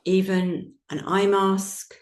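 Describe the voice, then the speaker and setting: A woman teaching yoga nidra, guiding a relaxation meditation in a gentle, soothing, unhurried manner with long pauses. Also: mid-range voice, level tone. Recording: roomy, echoing sound.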